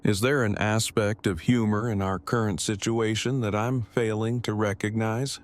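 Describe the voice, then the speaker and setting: A man's voice: lowish voice, steady tone, normal speed, lightly acted. An actor playing a nervous, bookish man who speaks in formal, wordy, precise sentences in an audio drama. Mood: stiff, earnest. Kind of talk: fan audio drama acting.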